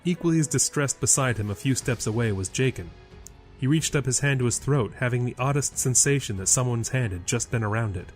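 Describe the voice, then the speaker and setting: A man's voice: medium pitch, a little fast, soft background music, rough recording. A man reading a scripted fantasy story as a dramatic storyteller.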